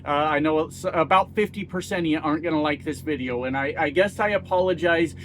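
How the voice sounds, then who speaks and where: A man's voice: on the high side, brisk, a bit bright, slight background noise, poor audio. Folksy, blunt middle-aged American man. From United States. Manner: folksy, blunt, swears freely, self-mocking. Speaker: YouTube commentator. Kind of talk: casual political commentary to camera.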